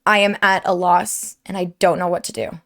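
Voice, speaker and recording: A woman's voice: fairly low pitch, level tone, moderate pace, creaky, a bit bright. Young woman, sore strained throat, earnest, rambling, frustrated, home desk mic. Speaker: streamer and YouTuber. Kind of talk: candid personal update to viewers. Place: home room at her desk.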